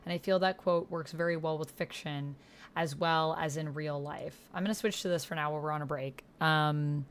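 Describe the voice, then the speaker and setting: A woman with a low-pitched voice hosts a casual livestream. She talks in an effusive, chatty way, reading and answering chat messages as she goes. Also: steady tone, moderate pace. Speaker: livestream host playing a text roleplay game.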